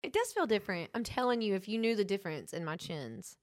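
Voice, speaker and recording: Woman, 30s, casual chat, animated storyteller. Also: mid-range voice, fast talker. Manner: animated storytelling, frequent laughter. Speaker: co-host. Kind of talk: casual chat between friends.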